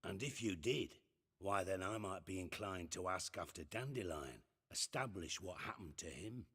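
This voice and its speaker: A man's voice: sits low, animated, normal speed, sharp tone, husky, exaggerated voice. An actor playing a scheming, sardonic male spymaster in a game cutscene, delivering dry, menacing lines.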